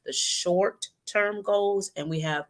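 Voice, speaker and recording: A woman's voice: medium pitch, slow. A middle-aged woman co-hosting a talk show, speaking in an encouraging, preachy way and addressing viewers directly. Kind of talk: casual talk show chat.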